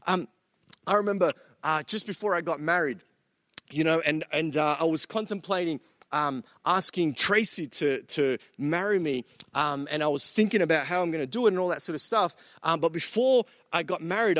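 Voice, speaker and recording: A man's voice: sits high, a bit bright, no echo, studio quality. A man born in El Salvador preaching a sermon to his church and home viewers, earnest and repetitive, with many fillers and restarts. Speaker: pastor.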